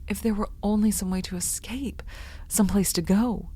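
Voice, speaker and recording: A woman's voice: mid-range voice, steady tone, moderate pace, mellow, breathy edge, close to an everyday voice. A woman playing an earnest young woman caught in forbidden love, acted with emotive old-time radio drama delivery. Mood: longing.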